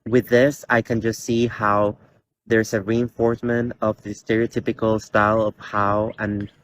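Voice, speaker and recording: A young man in his twenties from Costa Rica gives an earnest, halting personal statement with many fillers and restarts, standing in a large indoor theater. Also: on the low side, little pitch movement, fairly bright tone, a little hiss or hum. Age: 20s. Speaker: college student.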